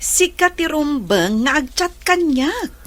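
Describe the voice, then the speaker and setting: A woman's voice: high voice, lively intonation, takes it slowly. A woman with an Ilocano accent acting a nagging, suspicious wife in a radio drama. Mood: reproachful.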